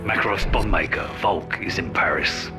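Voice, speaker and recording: A grim British commando played by a male actor. His voice is low and determined, briefing in a war game over loud music. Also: moderate pace, natural voice. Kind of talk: video game briefing acting.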